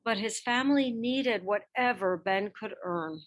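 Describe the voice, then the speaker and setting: A woman reading a children's picture book biography aloud in expressive narration, with measured phrasing and dramatic emphasis. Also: medium pitch. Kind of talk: expressive scripted storybook narration.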